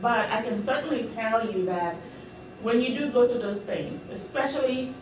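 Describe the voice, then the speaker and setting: A woman's voice: a little high, normal speed. A woman from Nigeria, a parent, speaking frankly and emphatically in a group discussion. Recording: very echoey room, far from mic, noisy.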